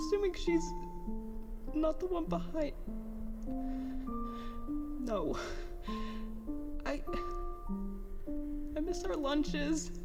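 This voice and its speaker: Very high-voiced, tearful young engineer played by a woman. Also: exaggerated voice. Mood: sad, wistful. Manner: rambling, halting, sarcastic, self-deprecating. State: crying, voice breaking with sobs. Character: tearful, self-loathing young engineer spiraling into bitter despair. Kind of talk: audio drama monologue, recorded log. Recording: long reverb, music underneath.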